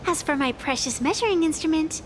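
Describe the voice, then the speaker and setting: A woman's voice: high-pitched, expressive, brisk, slight background noise. A woman playing a proud, playful young female arbiter in a video game, with a theatrical, boastful, lightly indignant manner. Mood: confident.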